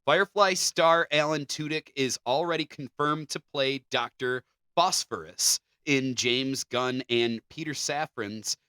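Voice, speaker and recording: A man's voice: medium pitch, measured pace, few pauses, bright, forceful tone, smooth, no echo. A man co-hosting a podcast, chatting casually and reading out news items. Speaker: podcast co-host.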